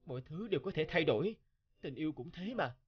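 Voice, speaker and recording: A man voicing a torn, apologetic young man in an animated melodrama, hesitant and earnest. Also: on the high side, quick pace, muffled recording, lightly acted.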